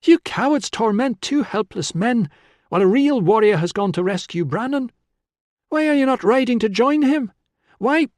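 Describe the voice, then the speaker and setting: Guy doing a fierce young woman from a historical audiobook, high voice, urgent and challenging. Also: expressive, normal speed, dry recording. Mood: scornful, shouting. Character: defiant, pleading young Celtic woman confronting her father.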